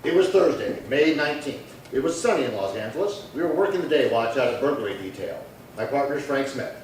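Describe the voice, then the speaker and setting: A man's voice: medium pitch, brisk, close to an everyday voice. Man playing terse detective, heavily echoing. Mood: matter-of-fact narration. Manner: clipped, deadpan, laconic. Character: terse, dry, businesslike male police detective sergeant. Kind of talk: 1950s radio crime drama acting. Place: live theatre performance space. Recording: very echoey hall, distant, faint noise.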